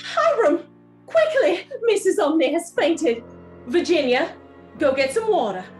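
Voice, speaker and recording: A woman's voice: very high-pitched, sing-song, darkish tone, faint music behind, exaggerated voice. A woman playing a fussy, commanding wife and mother, easily alarmed, in a theatrical radio play style. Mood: concerned. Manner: bossy, brisk orders, theatrical.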